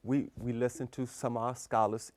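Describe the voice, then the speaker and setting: A man's voice: medium pitch, level tone, normal speed, no echo. A man with a mid-range voice, recorded dry and close with no room sound. He co-hosts a community talk show, affirming his partner often and addressing him as "brother" as he makes his points. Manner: affirming, frequent "mm-hmm" and "that's right", warm address as "brother". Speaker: co-host. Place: local TV studio.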